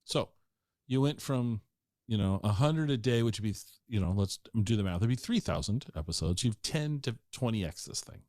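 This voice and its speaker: A man's voice: on the low side, lively intonation, normal speed, darkish tone, dry recording, studio quality. A man hosting a podcast interview, asking curious, probing questions with frequent restarts. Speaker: podcast host. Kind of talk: casual interview conversation.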